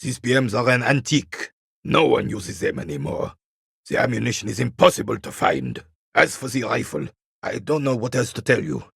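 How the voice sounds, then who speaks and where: An actor playing a proud, bitter old royalist soldier in a video game, stern and disdainful, with a gravelly, raspy voice. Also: male voice, medium pitch, animated, moderate pace, fairly bright tone, no echo, studio quality, heavily acted. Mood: knowledgeable, measured. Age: older. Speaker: retired carabinier working as a guard. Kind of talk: video game character dialogue.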